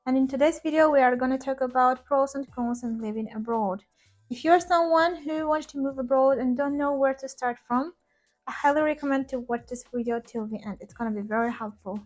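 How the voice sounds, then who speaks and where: A woman's voice: high-pitched, fairly quick, low-quality recording. A woman who is a non-native English speaker and an English teacher, giving earnest, direct advice to viewers in a vlog. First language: not English. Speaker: ESL teacher, vlogger.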